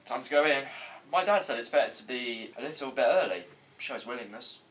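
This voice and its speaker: A man's voice: mid-range voice, animated, fairly quick, fairly bright tone, recorded from a distance, lightly acted. A young man playing a touchy, clueless, self-important aspiring actor in a comedy table read. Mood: defensive, self-important. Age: young adult. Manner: defensive, self-important, earnest.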